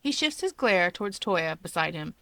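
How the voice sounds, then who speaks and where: A woman reads fiction as a narrator, expressively and from a script. Her voice is bright and animated, and the sound is close and dry. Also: fairly low pitch, normal speed, no room sound. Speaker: story narrator.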